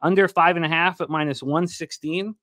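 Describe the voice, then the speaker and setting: A man's voice: on the high side, fairly even intonation, fairly quick, bright, forceful tone, dry recording. A man hosting a sports betting show, talking casually into a close desk microphone. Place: indoor studio setup at a desk. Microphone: large desk microphone close to the mouth.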